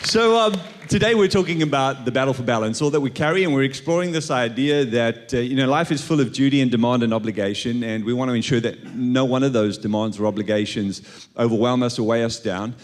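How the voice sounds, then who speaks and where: An upbeat man hosting a panel on a church stage. He talks with many filler uhs, and his pitch sits at a middle level. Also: brisk. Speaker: host. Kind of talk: onstage panel interview. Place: church stage at a live service.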